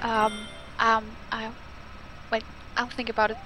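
A man voicing a bubbly young female chat viewer in a high, hesitant, giggly voice. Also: monotone, poor audio. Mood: bashful. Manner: giggly, hesitant, drawn-out speech. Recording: game music underneath.

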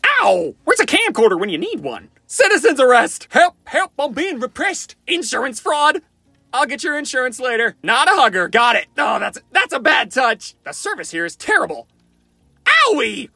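A man's voice: extremely high pitch, big pitch swings, moderate pace, creaky, pushed and bright, slightly rough, dry recording, pitched above the performer's own voice, heavily acted. A man voicing a cocky, flirty, goofy wisecracking survivor for fan-made video game lines, in a playful, theatrical, quippy manner. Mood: excited, alarmed. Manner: playful, theatrical, quippy, often sing-song.

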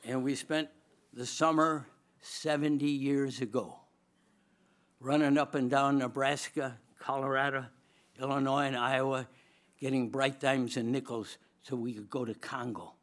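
A man's voice: medium pitch, measured pace. An older man with a Swedish accent preaching at a lectern microphone in a large auditorium, telling his story with humor and frequent laughter. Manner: lively, humorous, laughs often, gestures broadly. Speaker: chapel speaker, former dean. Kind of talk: storytelling chapel sermon.

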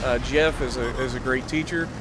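A man's voice: medium pitch, fairly even intonation, moderate pace, noisy background. A young American man casually telling a story over tank game play in short, emphatic phrases, as host of a gaming video.

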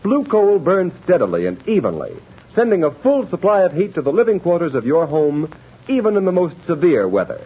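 A man's voice: fairly high pitch, huge pitch swings, a little fast, husky. A man announcing in a formal, salesmanlike 1930s radio commercial style. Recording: dull 1930s radio recording.

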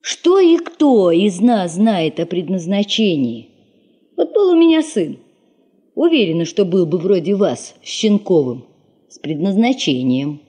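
An actress playing a weary, bitter middle-aged secretary in a 1970s Soviet radio drama, with an expressive, rueful manner. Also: female voice, mid-range voice, unhurried, slightly rough. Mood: bitter, grieving. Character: weary, bitter older secretary, a bereaved mother in the north.

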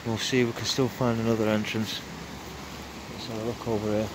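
A man's voice: on the low side, little pitch movement, fairly quick. A man gives a casual, hesitant running commentary as an exploring vlogger, thinking aloud as he walks outdoors with a handheld phone. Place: outdoors among derelict buildings in winter woodland. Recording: wind and outdoor noise on phone mic.

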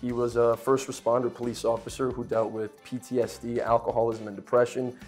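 A man in his thirties, from Poland and raised in Brooklyn, chatting as a guest actor in a lively, joking way with many restarts, on a lapel mic in a home kitchen. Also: medium pitch, brisk, faint music behind. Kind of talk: casual interview chat.

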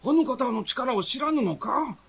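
An actor playing a stern, threatening manor servant with rough, strained, archaic speech in a radio drama. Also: male voice, very high-pitched, animated, a little slow, a bit bright, exaggerated voice. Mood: domineering, threatening.

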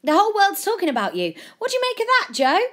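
A woman voicing a pushy, excited news reporter in a children's story, questioning urgently. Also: high voice, brisk, pitched above the performer's own voice. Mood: insistent.

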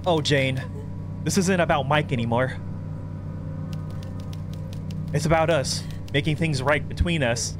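A man reads as a thirty-year-old male psychopath in a horror game. He is possessive and coaxing, with a controlled, sinister threat under the words. The voice sits a little high for a man, over clear music. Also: moderate pace, lightly acted. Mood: calm menace. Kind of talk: reading horror game dialogue aloud.

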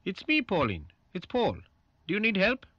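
A solicitous man on an alarm line in a radio play. His bright, very lively voice comes through a dull intercom speaker, courteous and sympathetic. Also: sits high, moderate pace, husky, dry recording, full character voice. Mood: helpful. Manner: polite, solicitous. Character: Paul, courteous, sympathetic male alarm-company call operator.

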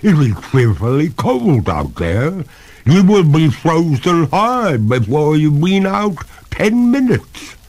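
A genteel lady water ghost from a storybook, played by a man, pitched far up and swooping. The speech is slow, drawn out and haughty, full of melodramatic, despairing pleas. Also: very animated, darkish tone, exaggerated voice. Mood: reluctant. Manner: formal, drawn-out, melodramatic pleading.

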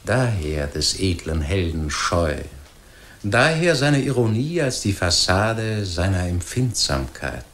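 Low-voiced, philosophical doctor. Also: male voice, big pitch swings, slow pace, fairly bright tone, exaggerated voice. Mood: reflective, solemn. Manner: reflective, eloquent, emphatic. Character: earnest, philosophical learned doctor, easily astonished. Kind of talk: literary German radio drama dialogue. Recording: faint noise and ambience behind.

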